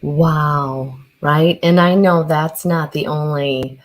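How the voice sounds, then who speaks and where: A woman with a lowish voice hosts the gathering. She gushes a little about the community, then reads out the next speaker's introduction with eager energy. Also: steady tone, slow pace. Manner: warmly enthusiastic, reads the introduction aloud. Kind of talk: enthusiastic event hosting.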